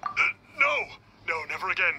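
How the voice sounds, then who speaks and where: A man's voice: high voice, huge pitch swings, moderate pace, bright, forceful tone, a little hiss or hum, full character voice. A man voicing a cowardly, pompous spirit who poses as an immortal sage in a video game, with theatrical, archaic phrasing. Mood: panicked pleading. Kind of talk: video game dialogue acting. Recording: background game music.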